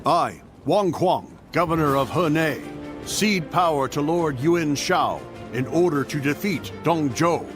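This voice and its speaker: A man's voice: a little high, lively intonation, a little slow, faint music behind. A man playing a formal, deferential governor of ancient China in a video game, speaking in proclamations. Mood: solemn deference. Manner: formal, declarative. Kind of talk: video game dub acting.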